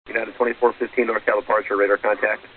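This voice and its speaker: A man's voice: fairly low pitch, flat delivery, fast, husky. A male air traffic controller giving procedural, clipped instructions over aviation radio. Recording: muffled, phone-like air traffic radio, distant.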